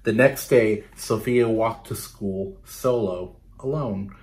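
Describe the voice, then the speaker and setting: A man in his thirties reading a picture book aloud to children, pausing to ask questions and explain, recorded in a small room. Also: lowish voice, darkish tone, poor audio. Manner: instructive, pausing to question and explain to young listeners. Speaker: children's storytime reader. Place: small bedroom, indoors.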